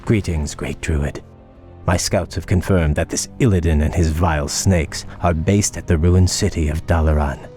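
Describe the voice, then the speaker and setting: Elven prince ally for a fantasy game, male actor: deep, polite, formal, measured, light music behind. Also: fairly even intonation, warm tone, close to an everyday voice. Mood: formal, informative. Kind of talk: dramatic game cutscene acting.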